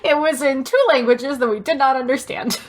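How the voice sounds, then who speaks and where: A woman's voice: high-pitched, a little fast, very noisy. A woman co-hosting a casual comedy podcast, talking in a wry, playfully dramatic way. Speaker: podcast co-host.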